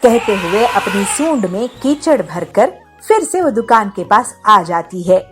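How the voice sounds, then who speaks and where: A woman reads a scripted moral tale for children as its narrator. Her voice is lively, with wide swings in pitch, and faint music plays underneath. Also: a little slow.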